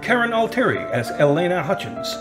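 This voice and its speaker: A man's voice: sits high, big pitch swings, brisk. A man reading scripted narration for a radio drama as its narrator. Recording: music under the narration. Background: music.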